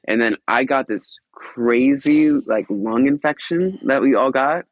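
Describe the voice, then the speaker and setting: A man's voice: medium pitch, measured pace, lo-fi sound. A congested, stuffed-up man talking easygoingly as a guest on a podcast. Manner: easygoing, relaxed. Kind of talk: casual chat.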